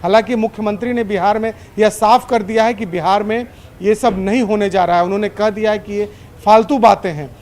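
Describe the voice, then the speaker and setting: A male correspondent gives an unscripted live news report. His voice sits quite high for a man, and he explains in long, run-on sentences, restating his points. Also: steady tone, a little fast, darkish tone.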